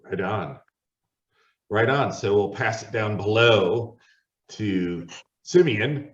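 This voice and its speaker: A man's voice: on the low side, lively intonation, slow pace. A middle-aged man hosting a casual group discussion. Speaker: host. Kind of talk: casual panel discussion chat. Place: indoor room shared with friends. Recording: roomy, distant, dull sound.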